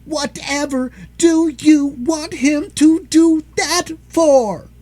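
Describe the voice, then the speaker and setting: A man's voice: very high voice, huge pitch swings, a little slow, a little mellow, slightly rough, voice pushed up, heavily acted. A man voicing two young children, a boy and a girl, in a storybook reading, sounding anxious and raised. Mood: bold.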